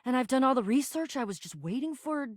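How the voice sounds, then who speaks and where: A woman voicing an exuberant, pompous adventurer spirit of boxes and containers in a video game, verbose and excitable in a theatrical style. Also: sits high, brisk, dry recording, studio quality. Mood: dismayed. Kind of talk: theatrical game character dialogue.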